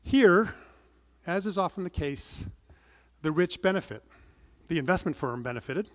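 A middle-aged man preaching a sermon from the pulpit, earnest and measured, stressing some words and letting phrase endings fall soft. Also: high-pitched, lively intonation. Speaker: pastor. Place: large wood-panelled church, indoors. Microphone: pulpit microphone. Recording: long church reverb.

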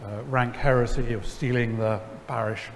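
A middle-aged man, seated on stage, telling a story to an audience in a wry, animated way, full of ums and restarts. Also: mid-range voice, normal speed, slight background noise. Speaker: lecture guest. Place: large church sanctuary before an audience. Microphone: headset mic. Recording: hall reverb, faint ambience.

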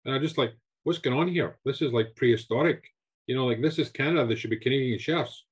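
A Scottish man in his sixties tells his story with lively enthusiasm, often doubling up words as he goes. His voice sits at an ordinary pitch and comes through a home video call. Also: fast, breathy edge. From Scotland. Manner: animated, repeats words, gestures with hands. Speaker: guest chef. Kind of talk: storytelling interview answers. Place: home room with shelves.

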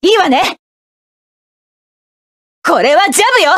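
A woman's voice: very high voice, animated, unhurried, fairly bright tone, no room sound, studio quality, exaggerated voice. An actress playing a fierce, commanding young female mecha pilot who calls out attack orders in battle. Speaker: game character. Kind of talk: video game battle voice lines.

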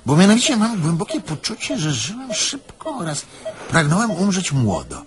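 An actor playing a rambling, defiant, rattled thief in a radio play, swinging between bravado and self-pity. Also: male voice, high-pitched, wildly swooping pitch, moderate pace, a crowd in the background. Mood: agitated rambling. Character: defiant, rattled young male thief waking up confused, rambling. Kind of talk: theatrical radio play acting.

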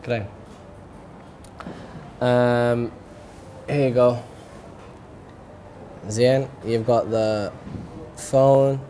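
A young man co-hosting a playful studio chat game, easygoing and quick to laugh, on a lapel mic. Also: mid-range voice, steady tone, mellow, slight background noise. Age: young adult. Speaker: co-host. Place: studio set with lounge chairs.

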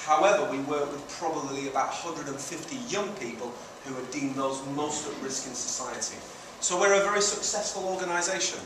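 A man's voice: fairly high pitch, fairly quick, few pauses. Man presenting in a very echoey room. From Manchester, England, and Italy. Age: middle-aged. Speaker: charity founder giving a presentation. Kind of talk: informal public presentation. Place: indoor community centre meeting room. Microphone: distant camera at the back of room. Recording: very echoey room, distant mic, noisy.

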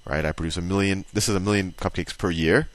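A man's voice: low-pitched, steady tone, fast, fairly bright tone, no echo. A man giving a casual explanatory lesson, talking it through conversationally and thinking aloud as he writes. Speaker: teacher.

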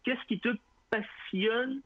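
A man hosting a live radio interview, lively and joking, often chiming in. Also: extremely high pitch, slow, breathy edge, no room sound, phone-quality sound. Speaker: radio show host.

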